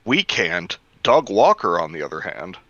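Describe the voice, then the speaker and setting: Very deep-voiced man, bright and forceful. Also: big pitch swings, a little slow, no echo. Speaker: guest commentator. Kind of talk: casual chat. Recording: slightly dull online voice call.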